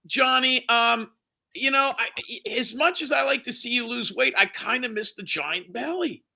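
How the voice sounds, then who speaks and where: A man in his forties, teasing and crude, chatting on a livestream with loud outbursts. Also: very high-pitched, moderate pace, gravelly. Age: 40s. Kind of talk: crude joking chat on a livestream.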